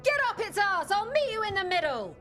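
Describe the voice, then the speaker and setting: An actress playing a brash, hearty adventurer in a video game cutscene, blunt and theatrical. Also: female voice, very high-pitched, very animated, a little fast, fairly bright tone, faint music behind, heavily acted. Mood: boastful storytelling. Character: brash, hearty woman adventurer telling tall tales.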